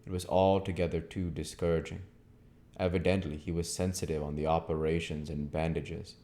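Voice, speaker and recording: A man's voice: deep voice, flat delivery, normal speed, warm tone. A man narrating a classic novel aloud as an audiobook reader. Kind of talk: storybook narration, read aloud.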